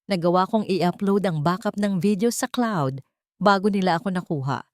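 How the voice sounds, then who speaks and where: A woman's voice: on the low side, normal speed, darkish tone, dry recording, clean studio sound, natural voice. A woman voicing a loyal, determined female ally in an audio drama, earnest and resolute. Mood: urgent, earnest.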